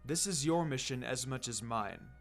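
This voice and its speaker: A narrator voicing a calm, lazy male ninja teacher who instructs in a measured, low-key way. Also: faint music behind, close to an everyday voice. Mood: calm, instructive.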